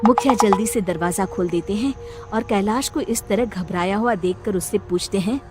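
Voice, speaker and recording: A woman narrating a scripted horror story with an expressive, dramatic delivery. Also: mid-range voice, normal speed, loud music behind the voice.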